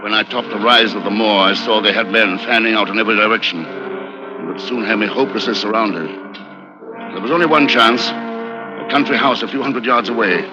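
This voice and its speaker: A dull vintage radio recording, backed by music, carries a man acting a hunted innocent hero. His fairly low voice is courteous, measured and tense. The delivery is dramatic 1950s radio acting. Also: lightly acted. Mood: tense, recounting. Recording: muffled old radio recording, loud music.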